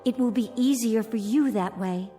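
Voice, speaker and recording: An actress playing a resigned, enigmatic ballerina in a radio drama, speaking in measured, solemn phrases. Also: female voice, a little high, warm tone, soft background music, natural voice. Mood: grave, resigned. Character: resigned, enigmatic female ballerina, calm and grave.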